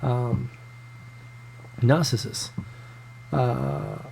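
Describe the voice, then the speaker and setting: A man's voice: mid-range voice, very animated, very slow, vocal fry, a little mellow, rough recording. NZ-accented male host, casual live-stream chatter, greets viewers by name, stumbles and restarts, faint hum. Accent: New Zealand. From New Zealand. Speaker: live show host reading viewer comments. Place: home room in Tokyo.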